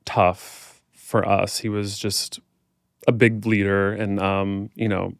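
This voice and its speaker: American male interview guest, casual and conversational, lowish smooth voice. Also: little pitch movement, slow pace, no room sound, clean studio sound. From Kansas City, American Midwest. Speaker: podcast guest, performer and fitness instructor.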